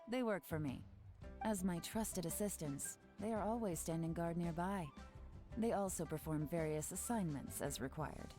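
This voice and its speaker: A woman voicing a composed intelligence chief in a video game, speaking calmly and with quiet authority over faint game music. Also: on the low side, natural voice. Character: cool-headed woman spymaster giving orders. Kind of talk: game voice acting.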